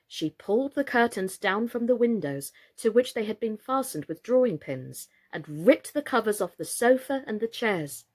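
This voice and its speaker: Slow, expressive woman storyteller. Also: mid-range voice. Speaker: story reader, actress. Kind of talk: expressive children's storybook reading.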